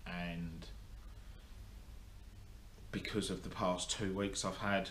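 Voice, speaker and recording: A young man talks straight to a camera in his workshop, blunt and rambling, with plenty of ums and false starts. His voice sits very low and he takes his time. The room rings with echo over a faint hum. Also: little pitch movement, takes it slowly, fairly bright tone. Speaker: motorsport vlogger. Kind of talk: casual update to camera. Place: indoor workshop. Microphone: camera in front of him.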